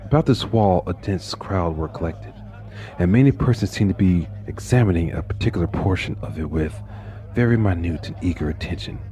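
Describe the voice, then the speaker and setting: A man narrating a horror story in the first person, intense, dropping to hushed whispers and rising into anguished outbursts. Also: low-pitched, animated, a little fast. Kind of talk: dramatic horror story reading.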